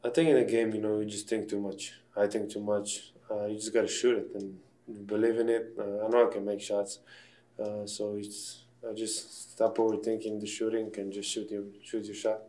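A man's voice: on the low side, level tone, moderate pace, mellow. A man in his twenties, a basketball player whose first language is Slovenian, giving short, plain, subdued answers after a game. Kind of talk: post-game press conference. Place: press room backdrop, indoors.

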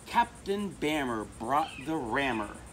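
American man, middle-aged, children's storybook reader, emphatic, lively varied pitch, outdoor recording, faint insect noise. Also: slow pace. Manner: expressive, emphatic delivery. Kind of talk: reading a children's picture book aloud. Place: outdoors among palm trees. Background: crickets and insects.

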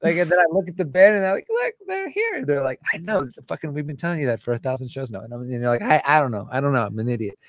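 Scratchy low-voiced guy in his 40s, self-deprecating, dead dry studio mic at home. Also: fast talker, hardly any pauses, dry recording. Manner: animated, self-deprecating, swears casually. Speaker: musician and author, guest. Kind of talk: candid conversational interview. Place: home room with a studio microphone. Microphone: large studio condenser mic on a stand.

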